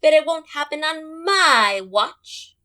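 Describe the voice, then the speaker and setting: A fierce old mother squirrel from a children's storybook, acted out by a woman. She scolds in a bright, forceful voice, emphatic and protective of her babies. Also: sits high, animated, slow, pitched above the performer's own voice, full character voice. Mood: stern warning.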